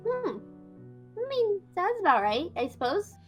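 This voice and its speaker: A woman's voice: very high-pitched, very animated, measured pace, faint music behind. A woman improvising a reformed fire mage in a game roleplay, hesitant and stammering, often repeating words.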